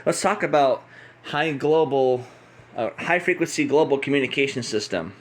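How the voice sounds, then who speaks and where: A man's voice: medium pitch, lively intonation, moderate pace, slight background noise. An American man giving an unscripted, opinionated explainer in a blunt, combative, emphatic manner. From United States. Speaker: radio signal hobbyist.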